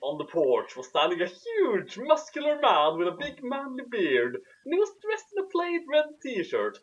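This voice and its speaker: A man's voice: very high-pitched, huge pitch swings, normal speed, muffled recording. A man reading fan fiction aloud theatrically, with sarcastic asides. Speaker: narrator.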